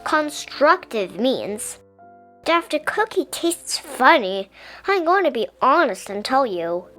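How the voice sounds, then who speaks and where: A woman reading a children's picture book aloud in a gentle, deliberate, expressive way. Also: high-pitched, faint music behind. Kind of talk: children's storybook read-aloud.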